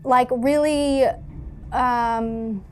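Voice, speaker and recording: Flat-toned woman player, fairly high voice. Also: slight background noise. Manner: halting, informal. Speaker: tabletop game player. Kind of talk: improvised tabletop roleplay description.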